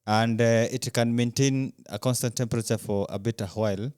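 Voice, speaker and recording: A man co-hosts a sports podcast in a medium-pitched voice. He explains things in a casual, conversational way. The sound is dry, with hardly any room on it. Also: fairly even intonation, normal speed, darkish tone, smooth, dry recording. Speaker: podcast co-host.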